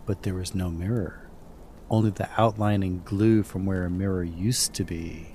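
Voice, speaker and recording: Halting middle-aged man telling spooky stories. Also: low-pitched, fairly even intonation, dark tone, a little hiss or hum. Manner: halting, stammers and repeats. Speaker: podcast host and storyteller. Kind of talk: casual spooky storytelling.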